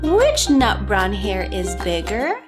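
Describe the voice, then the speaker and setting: A woman's voice: mid-range voice, wildly swooping pitch, takes it slowly, music in the background. A woman hosting a storytime for children, reading aloud expressively with sing-song emphasis.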